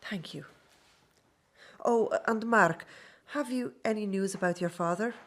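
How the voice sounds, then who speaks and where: An actress in a radio drama playing a gentle, devoted circus performer. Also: female voice, medium pitch, unhurried. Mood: polite.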